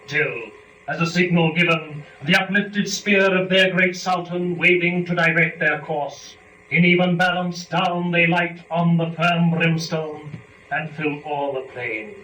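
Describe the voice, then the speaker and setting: A man with a fairly high-pitched voice speaks with formal, deliberate articulation, like a presenter of poetry. He is picked up from a distance in an echoey room, so the words ring around him. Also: fairly even intonation, bright, forceful tone. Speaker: performer and lecturer. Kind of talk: dramatic poetry reading and introductory lecture. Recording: echoey room, distant mic, dull, noisy.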